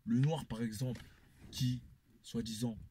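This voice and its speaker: A man's voice: medium pitch, steady tone, a little slow, mellow, slight background noise. A man, giving his opinion emphatically in a casual debate and repeating phrases for effect. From Congolese descent. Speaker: panel speaker.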